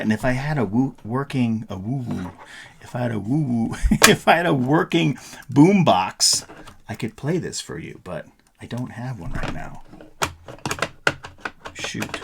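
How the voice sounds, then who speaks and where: A man's voice: mid-range voice, gravelly, a little hiss or hum. A middle-aged man giving a casual, playful, rambling explanation in a show-and-tell vlog style. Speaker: vlogger.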